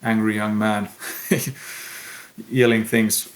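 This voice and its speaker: A young man with a Finnish accent, a musician answering interview questions in a thoughtful, pausing way. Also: on the low side, little pitch movement. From Finland. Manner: slow, thoughtful, pausing often. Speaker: musician guest. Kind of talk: podcast interview.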